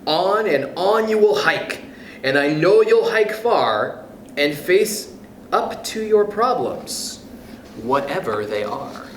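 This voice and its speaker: Man, youth club storyteller, unhurried and sing-song, playful picture-book reading, echoey room. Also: fairly high pitch, a little hiss or hum. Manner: expressive, playful, chuckles between passages. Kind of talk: children's picture book read-aloud.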